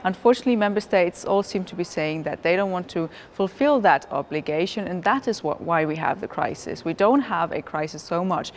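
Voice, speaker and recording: Woman answering straight, emphatic, lowish, faint room noise. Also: expressive, fairly quick, few pauses.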